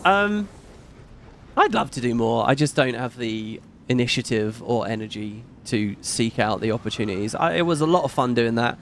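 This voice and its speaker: A middle-aged man with a British accent, chatting casually on his live stream; his voice is fairly high and not macho, and he rambles and swears casually. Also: lively intonation, normal speed, a little hiss or hum.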